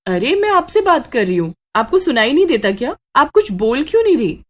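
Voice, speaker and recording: A woman voicing an excitable, emphatic young woman. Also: sits high, brisk, pitched above the performer's own voice. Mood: insistent. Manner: emphatic, questioning, exclamatory. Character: excitable young woman, indignant bride, easily upset. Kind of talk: acted horror storybook dialogue in Hindi.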